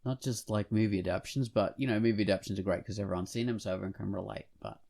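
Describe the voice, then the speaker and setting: A man co-hosting a podcast, chatting and reviewing in an animated, rambling, jokey manner. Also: on the low side, quick pace, creaky. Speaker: podcast co-host. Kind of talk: casual podcast chat and featured comic review.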